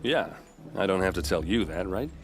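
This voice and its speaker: An actor playing a friendly, flirtatious soldier in a video game, with a casual, teasing and boastful way of talking. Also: male voice, sits low, animated, moderate pace, soft background music. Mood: earnest storytelling. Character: swaggering, friendly male vanguard soldier, a flirtatious fighter. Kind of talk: video game dialogue acting.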